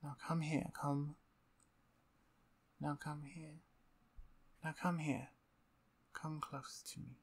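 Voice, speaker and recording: A woman playing a dominant, playful vampire conqueror in an ASMR roleplay, with a breathy, near-whispered voice and an imperious, taunting manner. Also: sits low, level tone, slow, pauses often, heavily acted. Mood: softly dominant.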